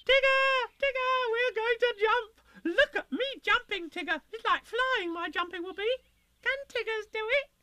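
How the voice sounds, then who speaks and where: A man voicing an excited tiny young kangaroo boy in a storybook reading, in an eager, squeaky voice. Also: slow, no echo, heavily acted.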